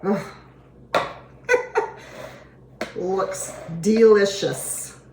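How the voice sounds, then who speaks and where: A hoarse woman talks slowly and easily while demonstrating a recipe, chatting in a homey way as she goes. Her voice bounces around an echoey kitchen. Dishes and pots clatter behind her. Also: medium pitch, background noise. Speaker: cooking show host. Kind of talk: casual cooking demonstration. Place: home kitchen. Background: dishes and utensils clinking.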